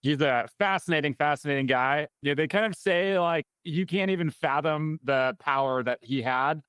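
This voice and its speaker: A man's voice: a little high, normal speed, a bit bright, clean tone, no echo. A man hosting a business podcast, asking questions and telling stories in a friendly way. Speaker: podcast host. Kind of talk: podcast interview.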